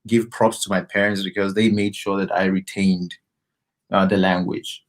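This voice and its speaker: Relaxed low-voiced man chatting. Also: moderate pace. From Ghana. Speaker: Twi teacher and host. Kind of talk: casual live-stream chat.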